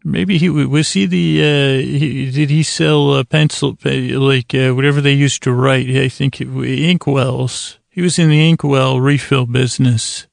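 A man hosting a bedtime podcast in a gravelly voice, rambling and halting as he improvises, drifting whimsically and trailing off mid-thought. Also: mid-range voice, fairly even intonation, no room sound, clean studio sound. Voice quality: gravelly, creaky voice. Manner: mildly whimsical, acts out imagined exchanges. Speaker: podcast host.

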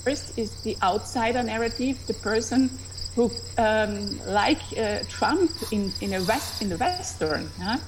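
A woman's voice: a little high, animated, unhurried, background noise. A woman from Austria with an Austrian accent, a native German speaker, explaining her research as a historian in a reflective, searching way.

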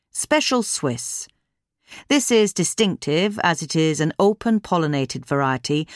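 A woman reads instructional narration in a fairly low voice. Her intonation moves around in a lively way, and the recording is close and dry. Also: no room sound. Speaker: reader of a gardening magazine article.

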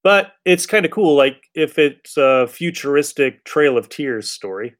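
A man's voice: a little high, lively intonation, a little slow. A man hosting a podcast, chatting casually about an old radio show in a blunt, irreverent way with some swearing. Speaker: podcast host.

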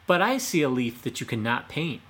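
A man voicing a sly, mocking male fox trickster in a storybook reading, in a high, loud, teasing tone. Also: sing-song, moderate pace, slightly rough.

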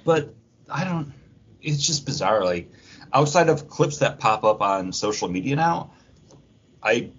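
A husky, rough-edged male voice on a dull lo-fi recording. He sits in a middle pitch and chats casually as a podcast co-host. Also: sing-song, measured pace, long pauses, a bit bright. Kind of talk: casual chat.

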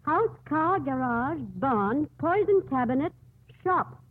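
An actress playing an earnest, conscience-stricken nun in a 1950s radio drama, grave and intense in manner. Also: female voice, high-pitched, animated, takes it slowly, dry recording. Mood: urgent, intent. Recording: dry, phone-like 1950s radio recording.

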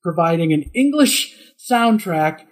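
A middle-aged man with a high tenor voice, a guest voice actor telling chatty anecdotes in an interview, laughing often and acting out the quotes. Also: expressive, a little slow. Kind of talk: casual interview storytelling.

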